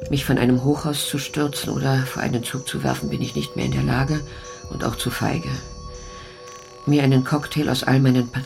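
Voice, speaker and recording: An actress playing an ailing, resolute elderly mother in a German radio crime drama, speaking in a halting, measured, intimate way. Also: rumbling low, vocal fry. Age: older.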